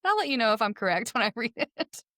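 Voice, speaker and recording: A woman's voice: fairly high pitch, sing-song, fast, sharp tone, no echo, clean studio sound. Bubbly Texan woman host, giggly. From Texas. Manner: bubbly, laughs often. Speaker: podcast host. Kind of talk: casual interview chat.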